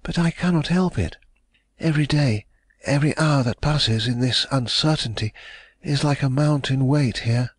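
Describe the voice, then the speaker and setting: A man's voice: mid-range voice, normal speed, slightly rough, voice pushed up, natural voice. A man doing an agitated young heiress. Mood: anxious. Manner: emotional, breathless pleading. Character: anxious young rich woman, agitated.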